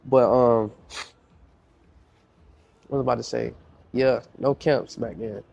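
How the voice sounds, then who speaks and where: A man's voice: mid-range voice, fairly even intonation, a little slow, vocal fry, a little mellow, muffled recording. A young man with a congested voice, a music producer talking casually in a podcast interview, slangy and repeating himself as he tells stories.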